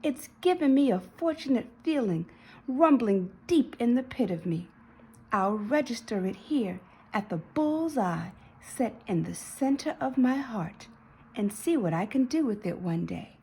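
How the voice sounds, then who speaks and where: A woman acts out a precocious storybook baby girl. She is polite and determined, speaking in folksy, formal declarations with lively rises and falls and a slightly husky edge. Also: fairly high pitch, unhurried, full character voice. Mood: delighted, dreamy. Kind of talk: children's storybook read-aloud.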